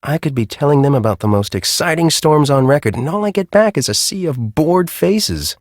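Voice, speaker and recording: An actor playing a grumpy, bossy middle-aged storm-chasing professor in a video game, with an American accent and a brusque, sarcastic, exasperated manner. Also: male voice, on the high side, sing-song, normal speed, no room sound, studio quality. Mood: bitter, disappointed. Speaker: video game character, research team leader.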